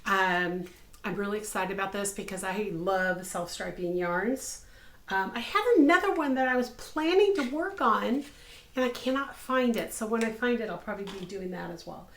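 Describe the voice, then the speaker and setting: A woman in her fifties, slightly hoarse, hosting a casual craft podcast from her home craft room, upbeat and full of ums. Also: medium pitch, normal speed. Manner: chatty and upbeat, many ums. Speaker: podcast host, crochet designer. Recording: echoey room.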